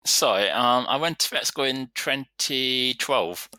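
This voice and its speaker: Bright-voiced man in his thirties. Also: mid-range voice, expressive, a little slow, dry recording. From London, England. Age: 30s. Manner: easygoing, rambling storyteller. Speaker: vet surgeon guest. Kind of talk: casual interview chat.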